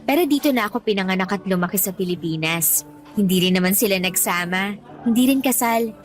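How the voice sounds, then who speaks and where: A woman's voice: mid-range voice, animated, fairly quick, natural voice. A woman with a Filipino accent playing a friendly, candid young woman on a first date in a radio drama, chatty and open. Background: music.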